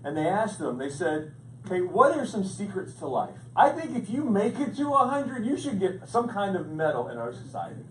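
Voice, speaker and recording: Playful pastor in an echoey hall. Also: male voice, sits high, normal speed. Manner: playful, animated storytelling with jokes. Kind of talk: humorous sermon. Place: large church hall. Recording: echoey hall, distant mic, background noise.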